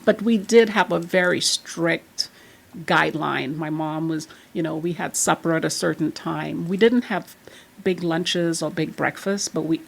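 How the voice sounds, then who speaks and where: A woman in a low, gravelly, husky voice. She talks as a podcast guest, chatty and animated as she tells one story after another. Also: a little slow. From Trinidad and Tobago. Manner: chatty and animated, laughs while telling stories. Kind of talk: casual storytelling chat.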